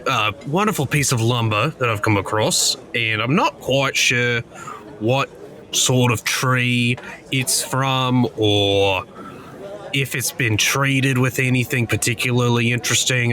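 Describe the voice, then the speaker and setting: A man playing in a tabletop roleplaying game, chatty and playful, often halting mid-sentence. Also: mid-range voice, pushed and bright. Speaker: player.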